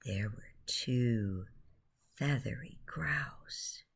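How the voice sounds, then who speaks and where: A woman with a very deep, husky voice tells a bedtime story for children. She speaks in a hushed, soothing tone, often close to a whisper. Her phrases come extremely slowly, with long pauses between them. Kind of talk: guided imagery bedtime storytelling.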